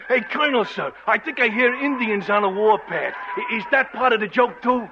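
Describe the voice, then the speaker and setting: An actor playing a naive, eager young army private who giggles and gushes, in a 1940s radio comedy. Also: male voice, extremely high pitch, normal speed. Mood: giggly, eager. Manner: giggly, goofy, gushing. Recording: dull old lo-fi radio recording with faint hiss.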